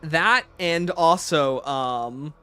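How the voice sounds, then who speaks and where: Higher-pitched man hosting a livestream Q&A. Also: very slow, pushed and bright, smooth, faint music behind. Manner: reads viewer questions aloud and answers them off the cuff. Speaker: livestream host. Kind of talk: explanatory livestream Q&A commentary.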